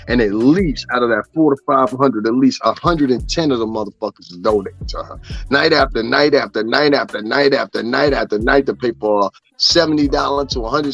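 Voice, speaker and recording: A man in the middle of his pitch range rants profanely in loose gossip commentary, circling back to "you understand what I'm saying" as he goes. The sound is a bit dull, with faint music under his voice. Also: a bit bright, breathy edge. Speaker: commentary streamer.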